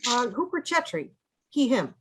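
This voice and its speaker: Woman playing a nervous, lively-pitched male scientist. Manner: hesitant, halting. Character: nervous, awkward male government fisheries scientist. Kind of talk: comedy musical table read.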